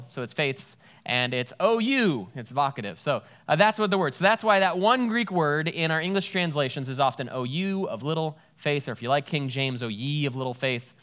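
A man's voice: medium pitch, a bit bright. A man, a pastor giving a conversational sermon and reading scripture aloud. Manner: conversational, explains Greek words with a folksy aside.

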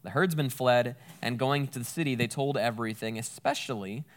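A man preaches in a relaxed, conversational way. His voice sits at an ordinary middle pitch. He talks plainly while explaining scripture. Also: a little fast. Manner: conversational, explains Greek words with a folksy aside. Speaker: pastor. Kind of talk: sermon with scripture reading.

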